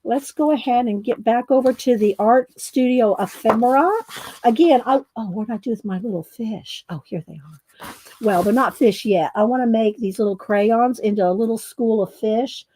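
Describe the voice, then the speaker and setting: Chatty woman with a loud voice. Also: a little high, normal speed, a little hiss or hum, poor audio. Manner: chatty, rambling, self-interrupting, laughs often. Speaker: crafting YouTube host. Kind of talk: casual chat while making art. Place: home art studio.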